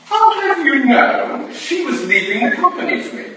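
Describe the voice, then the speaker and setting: Casting: actor as tipsy upper-class braggart, highish animated voice, swaggering and confiding, period stage drama. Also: male voice, normal speed. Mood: smug, confiding. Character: boastful, drunken upper-class English gentleman rake. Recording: echoey room from far off, noisy.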